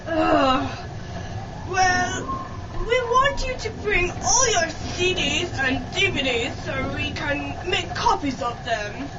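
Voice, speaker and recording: A woman's voice: very high voice, animated, takes it slowly, over a noisy crowd, exaggerated voice. A woman playing an exasperated, bossy pirate leader in an amateur comic radio drama, with theatrical delivery. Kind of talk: amateur satirical old-time radio drama acting. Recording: muffled, very noisy, distant room mic.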